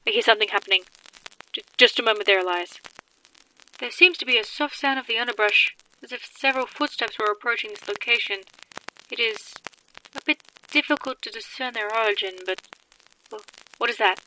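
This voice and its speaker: A woman plays a female field reporter in a radio drama. Her voice is sharp, bright and on the high side, with formal, precise phrasing. Faint noise sits behind her. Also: fairly quick, pauses often, low-quality recording. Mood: wary. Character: composed female troll field reporter, gradually unnerved.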